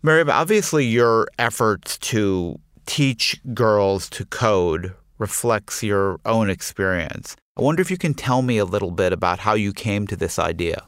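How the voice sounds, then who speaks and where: Low, smooth-voiced male interviewer. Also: animated, a bit bright, no room sound, studio quality. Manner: deliberate, probing questions. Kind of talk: measured interview questions.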